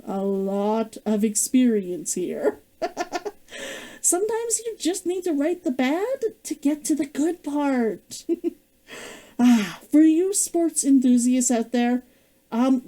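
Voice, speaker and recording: A woman's voice: sits high, dark tone. A woman author reading her own novel aloud, then chatting about writing and laughing often. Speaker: author and streamer.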